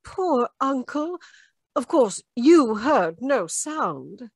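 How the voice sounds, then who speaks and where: An anxious, devoted young lady of radio melodrama, played by a woman. Her high voice swoops with slow, pleading earnestness. It is a dry recording from a video call. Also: sing-song, slightly rough, dry recording, full character voice. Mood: worried. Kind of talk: 1940s radio mystery acting. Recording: live performance over video call.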